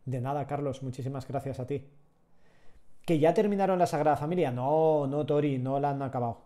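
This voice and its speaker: Relaxed man teaching live. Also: medium pitch, fairly quick. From Catalonia, Spain. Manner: relaxed, chatty, answers chat while working. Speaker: 3D sculpting instructor. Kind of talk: live-streamed tutorial, chatting with viewers. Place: small room at home.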